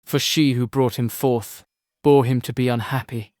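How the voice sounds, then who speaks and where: A man's voice: medium pitch, steady tone, moderate pace, darkish tone, no room sound, clean studio sound, lightly acted. A man playing an earnest young prince seeking his lost father, in a formal, solemn audiobook drama of epic verse. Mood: mournful. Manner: formal, solemn, pleading declamation.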